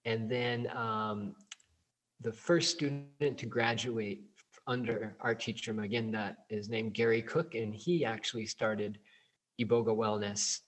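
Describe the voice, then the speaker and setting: A man's voice: lowish voice, a little slow, rough recording. Laid-back man answering interview questions. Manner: relaxed, many fillers like um and you know. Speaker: guest, plant medicine provider. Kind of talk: interview answers over a call.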